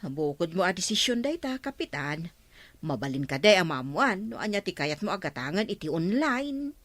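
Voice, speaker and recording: A woman's voice: lowish voice, big pitch swings, normal speed, a bit bright, no echo. A woman with an Ilocano accent playing an inquisitive, direct village woman in a radio comedy drama. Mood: curious, teasing.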